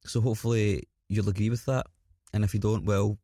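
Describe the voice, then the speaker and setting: A man with a slightly raspy, scratchy voice hosts a podcast in an informal, self-deprecating, rambling way with some swearing. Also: on the low side, flat delivery, brisk, mellow, no echo, studio quality. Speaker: podcast host. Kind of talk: casual podcast introduction.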